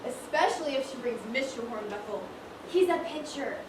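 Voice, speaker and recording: A woman on stage playing an eager, polite and excitable young shop assistant. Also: sits high, normal speed. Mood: deferential, chatty. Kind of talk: amateur stage acting, one-act school play. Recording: echoey stage, distant mic, clear noise.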